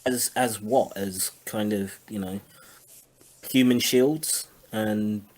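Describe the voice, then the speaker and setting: Low, husky man giving halting sceptical commentary. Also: steady tone, darkish tone, poor audio. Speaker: panel commentator. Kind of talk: casual critical discussion.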